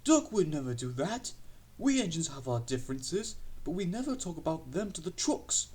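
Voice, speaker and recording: A man's voice: fairly high pitch, sing-song, normal speed, a little mellow, husky, voice pushed up. A man voicing proud, indignant steam engines in a children's storybook, pompous and emphatic.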